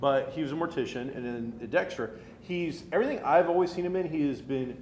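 An American man in his thirties hosting a casual geek culture chat, talking in an animated, chatty way. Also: fairly high pitch, a little fast. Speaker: talk show host. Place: roomy indoor restaurant at a table. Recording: roomy echo, faint background noise.

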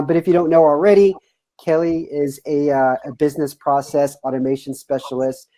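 Man in his 30s, webinar host on a home video call, mellow tone, upbeat and chatty. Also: a little high. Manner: upbeat, loose, many "uh" fillers. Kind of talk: casual webinar hosting. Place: home room, indoors.

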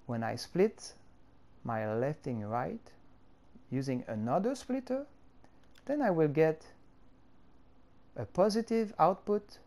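Slow French-accented man explaining a tutorial. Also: on the high side, dark tone. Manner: unhurried, explaining step by step with fillers. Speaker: tutorial creator. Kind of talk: screen-recorded game-editor tutorial.

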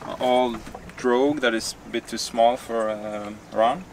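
A man, chatting casually to the camera on a sailboat deck, relaxed and hesitant with many fillers. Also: mid-range voice, measured pace. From Sweden. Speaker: sailing vlogger. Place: outdoors on a sailboat deck on a bay. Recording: distant camera mic with outdoor noise.

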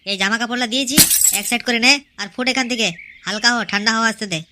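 A woman's voice: on the high side, fairly even intonation, rapid-fire, very noisy. A performer voicing a brash young village man in a cartoon, cocky and crude, bossing his family around. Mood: boastful.

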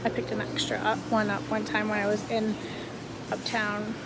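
A woman's voice: mid-range voice, completely flat delivery, moderate pace, heavy background noise. A woman in her thirties chatting to viewers about her delivery shifts, filmed on a phone held at arm's length in her car and then inside a restaurant. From USA. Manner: chatty, talks to viewers while driving and walking. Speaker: food delivery driver vlogger. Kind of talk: casual vlog chat.

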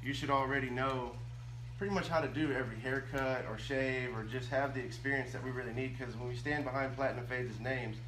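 A man's voice: mid-range voice, fairly quick, distant mic, poor audio. A man in his thirties, a barber and shop owner, talking earnestly in a salesy way with many fillers while he cuts hair in his barbershop. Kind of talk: casual chat while cutting hair. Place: barbershop, indoors. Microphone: camera on a stand a few feet away. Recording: room recording with steady hum.

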